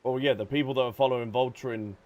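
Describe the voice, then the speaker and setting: A man's voice: medium pitch, fairly even intonation, fast, a little mellow. A man streaming a video game, casually commenting on the dialogue in a playful, joking manner. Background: game music.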